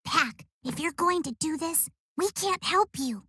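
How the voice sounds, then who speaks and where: Bossy young girl sidekick, cartoon game, very high, bright, emphatic, dry studio sound. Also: expressive, measured pace, no echo, heavily acted. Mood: warning. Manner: emphatic, earnest. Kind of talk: animated game character acting.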